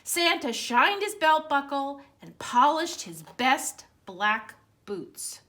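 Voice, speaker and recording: Animated, high-pitched woman reading a storybook. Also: takes it slowly. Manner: expressive, dramatic emphasis, addresses young listeners with questions. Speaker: librarian reading at children's storytime. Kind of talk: animated read-aloud of a children's picture book.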